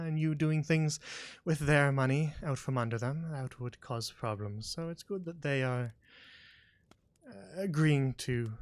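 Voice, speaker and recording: A man voicing a haughty aristocratic lord who speaks slowly and pompously, with a sarcastic edge. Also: mid-range voice, no room sound, close to an everyday voice. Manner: slow, measured, pompous.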